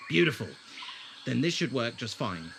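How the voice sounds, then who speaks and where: An actor playing a whimsical alien time traveller in an audio drama, theatrical and wordy, with a wry, teasing way of talking. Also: male voice, mid-range voice, sing-song, normal speed, gravelly, heavy background noise. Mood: wry, patient.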